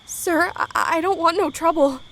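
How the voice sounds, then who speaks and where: A woman acting a brave, polite but defiant high school girl in a period audio drama, with folksy speech. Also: normal speed, fairly bright tone. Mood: frightened, pleading. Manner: folksy dialect grammar, plucky. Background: crickets and night insects.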